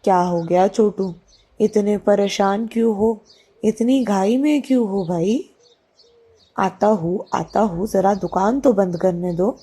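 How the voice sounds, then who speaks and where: A woman's voice: medium pitch, slow pace, dark tone, slightly rough. A woman voicing a helpful, fussing shopkeeper uncle in a children's cartoon story, with a homely advising manner. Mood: flustered.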